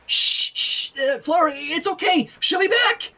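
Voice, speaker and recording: A man's voice: very high voice, expressive, unhurried, bright, forceful tone, voice pushed up, exaggerated voice. A man voicing an anxious, flustered teenage girl looking after a toddler in a storybook reading. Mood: anxious, soothing.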